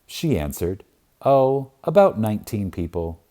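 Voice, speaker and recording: Male audiobook reader, deep and unhurried, reverent and emphatic, lets each pause land. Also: big pitch swings, warm tone. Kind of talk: reading a spiritual self-help book aloud.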